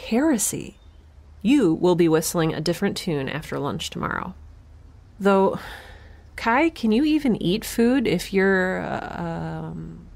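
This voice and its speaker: A woman playing a wry, frazzled young scientist in an audio drama, chatty and sardonic with halting moments. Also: lowish voice, very animated, vocal fry, a little mellow, heavily acted. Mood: playful, awkward. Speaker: lead actor and creator of the podcast. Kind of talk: first-person audio drama, spoken log.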